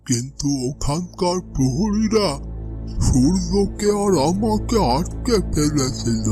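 A man playing solemn, earnest figures in a dramatic fairy-tale cartoon, speaking in a drawn-out way. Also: high voice. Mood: remorseful, earnest. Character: male dervish elder and his downcast devoted follower. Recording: loud music under the voice.

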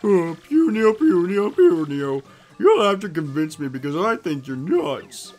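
A man's voice: high voice, sing-song, unhurried, a little mellow, pitched above the performer's own voice. A man voicing a grumpy, doubtful old creature who scoffs at the hero in a game, over game music. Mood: scoffing. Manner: scoffing, sarcastic.

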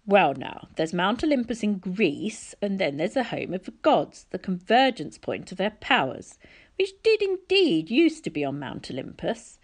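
Woman reading as a calm older male mentor. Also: mid-range voice, measured pace. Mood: explaining.

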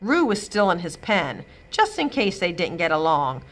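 A woman reading a children's chapter book aloud, giving the characters expressive, lively voices. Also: fairly low pitch, normal speed. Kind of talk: storybook read-aloud. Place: library, indoors.

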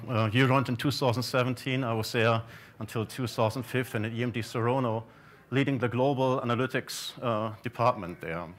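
A man's voice: mid-range voice, a bit bright. A middle-aged man presenting on a large conference stage through a stage mic. He talks in a loose, chatty way, throwing questions at the audience, and often restarts his sentences with small fillers. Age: 50s. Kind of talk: conference talk. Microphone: stage mic, far camera.

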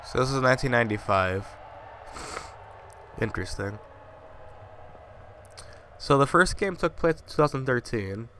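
A man's voice: mid-range voice, lively intonation, moderate pace, long pauses, sharp tone, slight background noise. A man playing a horror game, swearing and muttering casual asides, still slightly congested from a cold. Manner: profane, jumpy, muttering asides. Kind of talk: casual commentary.

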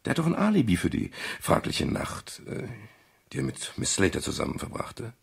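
Weary private detective, husky and gravelly, persistent, polite, 1970s radio crime drama. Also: male voice, mid-range voice, wildly swooping pitch, normal speed, full character voice. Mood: insistent. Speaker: actor, lead and narrator.

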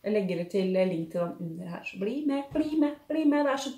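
A young woman with a Norwegian accent chatting in a casual haul vlog, gushing and expressive, with lots of sighs and fillers. She sits at a living-room table in front of a fixed camera. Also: mid-range voice, echoey room. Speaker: beauty and lifestyle vlogger. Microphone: fixed camera at table distance.

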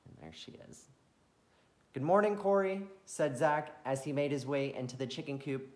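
A man reading a children's picture book aloud as a bedtime story, expressive and playful, with friendly asides. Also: mid-range voice, moderate pace. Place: farm, reading at home to camera.

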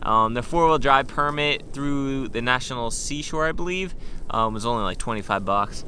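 Give me a relaxed young man vlogging casually, voice at a middle pitch, with clear background noise. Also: normal speed. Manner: relaxed, loose, filler-heavy explaining. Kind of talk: casual travel vlog talk. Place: inside a truck, then outdoors on a beach.

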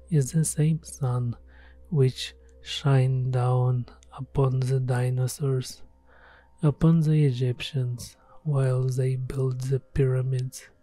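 Male, meditation guide, very even pitch, unhurried, soothing, gentle, music underneath. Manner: soothing, gentle, measured delivery. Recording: soft music behind the voice. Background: background music.

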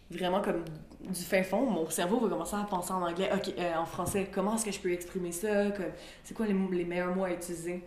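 A young woman with a Quebec French accent chatting as an interview guest, energetic and often restarting while she searches for words. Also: fairly low pitch, roomy sound, a little hiss or hum. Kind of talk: casual conversation mixing French and English.

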